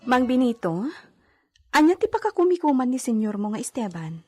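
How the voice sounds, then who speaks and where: A woman playing an anxious, heavily pregnant young lady in an Ilocano radio drama, her voice husky and breathy. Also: a little high, sing-song, fairly quick, music in the background. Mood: weary.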